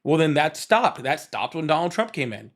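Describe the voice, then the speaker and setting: A man hosting a live political call-in show, pressing a caller with rapid, interrupting questions in a heated debate. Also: a little high, big pitch swings.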